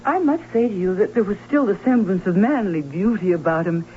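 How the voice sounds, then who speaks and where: An actress playing a distraught Baron's sister in a radio drama, telling of a haunting in earnest, formal, dramatic tones. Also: female voice, mid-range voice, lively intonation, a little fast, natural voice. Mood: insistent. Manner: earnest, dramatic, formal old-fashioned storytelling. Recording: tinny narrow-band old radio with hiss and hum.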